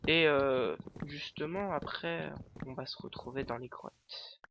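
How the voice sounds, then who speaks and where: A man's voice: fairly high pitch, measured pace, muffled recording, slight background noise. A man hosting a let's play with a stuffy nose from a cold, talking through his game in hesitant, filler-heavy rambles. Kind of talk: casual gameplay commentary.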